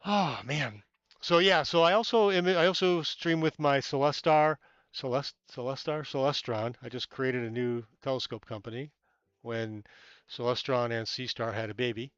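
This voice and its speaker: A man's voice: mid-range voice, normal speed, a bit bright, smooth, no echo, lo-fi sound. Chatty man streaming from a tent. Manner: rambling, chatty, thanks viewers by name, many fillers. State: a little stuffy-nosed. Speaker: amateur astronomy livestreamer. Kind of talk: casual live stream chat with viewers. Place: inside a small bubble tent outdoors.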